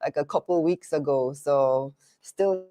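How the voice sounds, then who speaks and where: A woman's voice: low voice, a little slow, dark tone. A woman hosting a live business news show, sounding stuffy while recovering from illness, talking to viewers in an upbeat, chatty way.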